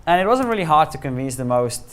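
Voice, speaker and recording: A man's voice: fairly high pitch, very animated, quick pace, a bit bright, smooth. Slovenian-accented man telling a tech story. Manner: storytelling, chuckling, filler-heavy. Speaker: solution architect presenter. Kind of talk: conference talk. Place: meeting room with audience.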